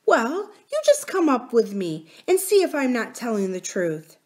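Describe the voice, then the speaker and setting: A woman's voice: on the high side, sing-song, a little slow, pitched above the performer's own voice. A woman voicing a young princess girl, earnest and proud, who speaks in a pleading, sometimes indignant way.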